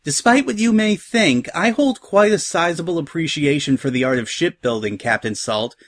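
Genteel female pony pirate captain for storybook male reader: raised pitch, airy, smug, wordy, teasingly polite. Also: animated, moderate pace. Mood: breezy, smug.

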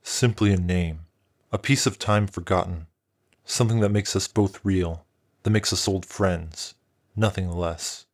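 A towering old male dragon, as a storyteller puts him on, speaking in a very low, unhurried bass. He sounds calm, knowing and gentlemanly, soothing rather than menacing, like a wise elder in a storybook. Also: lightly acted. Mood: calm, tender. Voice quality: deep bass voice, slow and soothing. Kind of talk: storybook reading.

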